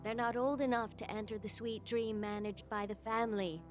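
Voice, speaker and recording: A woman voicing a kind old woman who looks after orphaned children, speaking gently and reassuringly. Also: on the high side, steady tone, normal speed, music in the background, lightly acted. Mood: gentle. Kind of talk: game voice acting.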